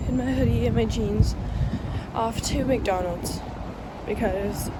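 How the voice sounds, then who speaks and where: A woman's voice: on the high side, takes it slowly. A young woman with a head cold, chatting casually to her camera as a flight attendant on a layover. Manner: chatty, giggly, rambling. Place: outdoors on busy city streets. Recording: loud crowd and street noise.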